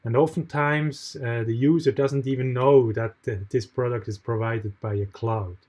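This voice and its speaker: A man's voice: medium pitch, steady tone, moderate pace, a little mellow. A Swiss man whose first language is not English, speaking as mentor in a recorded online tutorial, often hesitating and restarting his sentences. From Switzerland. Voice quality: hesitant, frequent fillers and restarts. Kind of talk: recorded tutorial with screen share.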